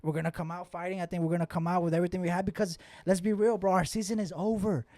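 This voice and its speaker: A man's voice: high-pitched, fairly even intonation, racing, darkish tone, no room sound. Blunt, slangy man in a dry studio. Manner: repeats phrases insistently. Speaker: football podcast co-host. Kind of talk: casual sports banter. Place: podcast studio.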